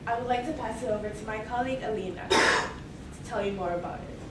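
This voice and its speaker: A young woman from Mexico and Belize giving a formal, rehearsed student case presentation in a measured way. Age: young adult. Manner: measured, formal pitching with repeated "moving right along". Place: large echoey hall, heard from afar. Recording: distant echoey hall recording with noise.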